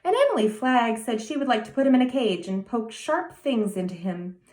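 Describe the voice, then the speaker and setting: A woman's voice: on the high side, sing-song, moderate pace, a little mellow, reverberant. A woman voicing a serious, earnest small boy of nearly ten in a storybook reading. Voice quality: grave, emphatic childlike delivery.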